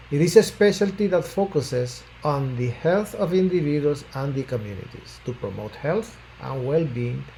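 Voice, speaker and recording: An older man from Puerto Rico, a physician, giving a prepared self-introduction in a measured, formal way, phrases trailing off at the ends. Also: fairly high pitch, mellow, rough recording. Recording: faint background noise.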